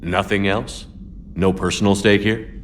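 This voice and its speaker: An actor playing a human military commander in a video game, terse and probing with calm authority. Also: male voice, on the low side, measured pace, slight background noise, natural voice. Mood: probing, serious. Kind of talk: video game character dialogue.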